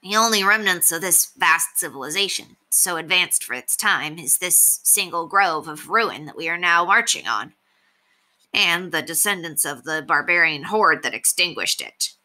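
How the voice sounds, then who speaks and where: Brief: dignified male fox, storybook, learned and haughty, crisp bright tone, formal lecturing, read by a woman. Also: lowish voice, expressive, normal speed. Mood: lofty, lecturing.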